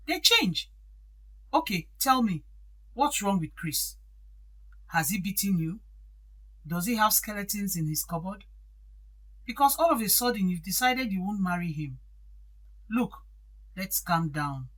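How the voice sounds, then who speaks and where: A woman's voice: medium pitch, fairly bright tone. An actress playing a domineering, impatient middle-aged stepmother in an audio drama, stern and admonishing. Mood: exasperated.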